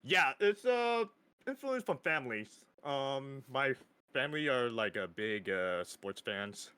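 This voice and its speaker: A man's voice: medium pitch, lively intonation, slow pace, sharp tone, clear, resonant tone. A man in his thirties, a podcast guest chatting casually, hesitant and laughing often. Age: 30s. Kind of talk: casual chat.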